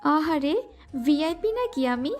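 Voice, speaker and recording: A shy young woman who scolds and brushes off her admirer, coy underneath, as an actress voices her. Her voice sits high and bright, with music running beneath her lines. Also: sing-song, slow, heavily acted. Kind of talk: Bengali audio drama acting.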